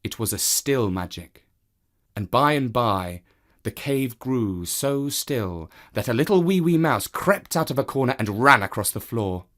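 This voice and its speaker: A storyteller reading a children's tale in an expressive, theatrical way, speaking straight to the listener. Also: medium pitch, a little slow.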